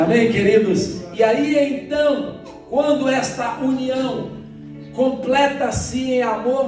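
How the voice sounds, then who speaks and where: A man's voice: very high voice, darkish tone, heavy reverb. Male Brazilian preacher, exhorting and repetitive, delivering a church sermon; distant from the mic with faint music behind. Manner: exhorting, repeats phrases, addresses congregation directly. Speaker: pastor. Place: church hall during a morning service.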